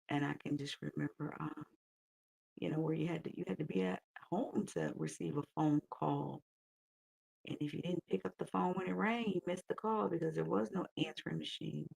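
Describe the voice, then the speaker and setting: Raspy, gravelly woman still waking up. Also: low voice, dry recording, rough recording. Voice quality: raspy morning voice. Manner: soft, unhurried, thinking aloud with fillers. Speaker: coloring channel host. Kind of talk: casual early-morning coloring chat.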